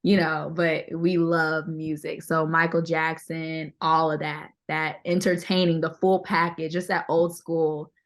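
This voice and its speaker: A woman speaks as a guest in a casual interview. Her voice is on the deeper side, and her delivery is candid and conversational, with repeated phrases for emphasis. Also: level tone, unhurried, hardly any pauses. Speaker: interview guest, singer-songwriter. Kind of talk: casual chat.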